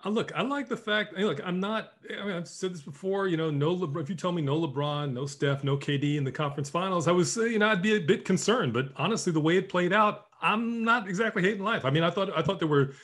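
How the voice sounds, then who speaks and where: A man's voice: sits high, quick pace, hardly any pauses, fairly bright tone. A man co-hosting a casual sports podcast, rambling and restarting his sentences with stammers. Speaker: podcast co-host.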